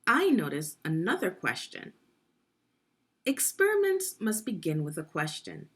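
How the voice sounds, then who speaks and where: A woman's voice: lowish voice, wildly swooping pitch, unhurried, husky. A woman leading a guided read-aloud of a children's science book, teaching and prompting young listeners with questions.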